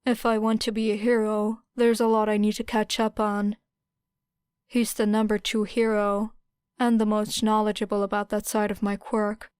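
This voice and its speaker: A woman voicing an aloof, stoic teenage boy in a flat, detached manner for an audiobook. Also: mid-range voice, normal speed, clear, resonant tone, dry recording, studio quality, natural voice. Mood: cold, stubborn.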